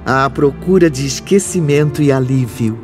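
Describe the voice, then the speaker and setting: A man narrating a scripted drama in a solemn, deliberate manner. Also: medium pitch, over music.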